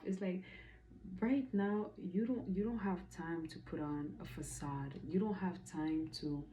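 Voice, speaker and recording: A woman's voice: low voice, dark tone, rough recording. A woman from the Caribbean giving a casual spoken tarot reading, emphatic and chatty, repeating affirmations with playful asides. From Caribbean, Dominican. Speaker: tarot reader.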